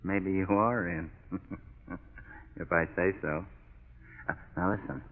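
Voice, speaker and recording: A man's voice: low-pitched, fairly even intonation, takes it slowly. An actor playing a cocky, menacing killer gangster in vintage radio crime drama, with a slangy, sneering tough-guy delivery. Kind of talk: 1930s-40s radio crime drama acting. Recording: tinny, echoey vintage radio recording.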